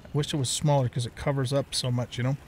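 A man's voice: medium pitch, little pitch movement, fast talker, darkish tone, slight background noise. A middle-aged man giving casual let's play commentary, rambling as he thinks aloud.

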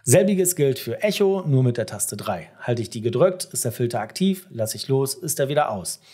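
Husky middle-aged man with lively pitch. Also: normal speed. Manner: explanatory, addresses the viewer directly. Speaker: tutorial presenter. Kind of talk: step-by-step software tutorial.

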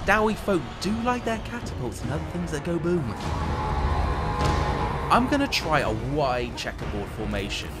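Guy doing scripted game commentary, slightly high voice, really animated storyteller vibe, game music going. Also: normal speed. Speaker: video game presenter. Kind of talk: scripted gameplay narration of a fantasy strategy game. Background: video game music.

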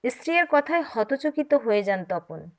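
A woman narrating a story in an expressive, dramatic audiobook style. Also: on the high side, normal speed. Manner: dramatic, acts out dialogue.

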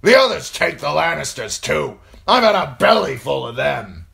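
Fantasy audiobook role: massive fierce warlord, thunderous, scornful, raised shouting delivery. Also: male voice, a little high, very animated, moderate pace, creaky, crowd noise, voice pushed up, full character voice. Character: huge booming northern lord, fierce, defiant rebel. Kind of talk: audiobook fantasy character acting.

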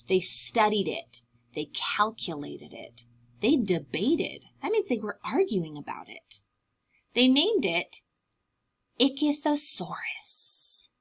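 A woman's voice: mid-range voice, fairly bright tone, breathy edge. A young woman reading a picture book aloud to small children, with dramatic emphasis and simple explanatory asides. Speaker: preschool teacher reading aloud.

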